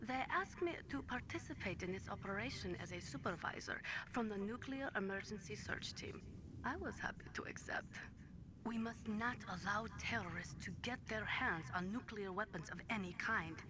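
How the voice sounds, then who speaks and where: A woman playing a serious, steely nuclear weapons analyst in a video game, speaking formally and deliberately. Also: mid-range voice, noisy background, natural voice. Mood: earnest, resolute.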